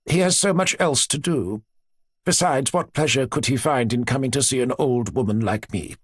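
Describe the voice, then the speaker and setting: A man reading aloud, voicing a cool, sharp-tongued old grandmother who stresses her words pointedly. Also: mid-range voice, normal speed, husky, no room sound, clean studio sound, natural voice.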